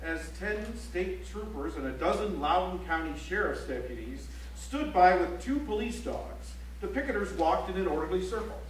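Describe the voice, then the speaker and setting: An actor playing a serious newspaper reporter, reading news reports theatrically on an auditorium stage. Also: male voice, sits high, expressive, moderate pace, few pauses. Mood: grave, emphatic. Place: library auditorium stage, live play. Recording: echoey auditorium from far off, faint hum.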